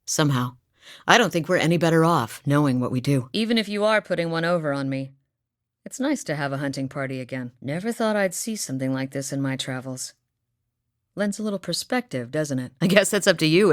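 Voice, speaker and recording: A seasoned huntress from a distant southern island, speaking low and deep with dry, wry practicality. Her lines come from a video game, recorded close and dry in a studio. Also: dry recording. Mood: earnest. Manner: wry, dry, matter-of-fact. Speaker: game companion character. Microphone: close studio mic.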